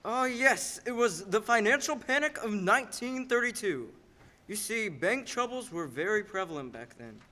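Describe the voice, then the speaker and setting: A man playing a patient, authoritative boss of angels who narrates in a measured storytelling manner in a radio play. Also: high voice, animated, background noise.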